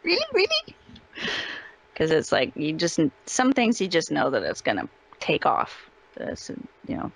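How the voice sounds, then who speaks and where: A woman's voice: low voice, gravelly, husky. A woman giving a casual interview as a guest, cheerful and informal in manner. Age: adult parent of a young child.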